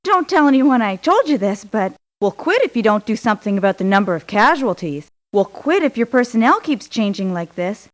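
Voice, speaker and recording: An actress playing a proud, aggrieved mercenary for hire in a video game, with a curt, assertive delivery. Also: female voice, on the high side, big pitch swings, fairly quick, hardly any pauses. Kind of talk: scripted video game voice lines. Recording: lo-fi muffled game clips in places.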